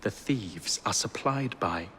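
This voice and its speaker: Low-voiced earnest Victorian police sergeant. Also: male voice, expressive, slow, sharp tone, a little hiss or hum, exaggerated voice. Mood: earnest, confiding. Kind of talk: dramatic game cutscene acting.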